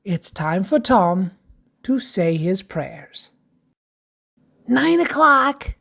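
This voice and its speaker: A woman narrating a rhyming children's picture book in a theatrical, sing-song, deliberate way. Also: mid-range voice, big pitch swings, gravelly, fairly bright tone. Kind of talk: dramatic read-aloud of a rhyming picture book.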